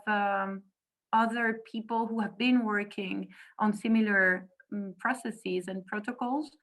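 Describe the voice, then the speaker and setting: Mid-pitched woman, measured explainer. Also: a bit bright, rough recording. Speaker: panel speaker, foundation director. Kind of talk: considered explanatory answer in a discussion.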